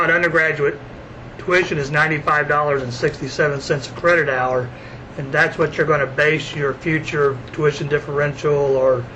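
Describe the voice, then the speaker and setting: A man's voice: on the high side, noisy background. A man giving an explanation as a staff member in a telephone meeting.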